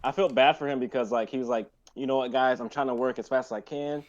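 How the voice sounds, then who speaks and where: A man's voice: medium pitch, steady tone, fast. A man in his twenties chatting casually and recounting what someone said, on a slightly dull microphone. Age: 20s. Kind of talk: casual chat. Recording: dull, dry microphone sound.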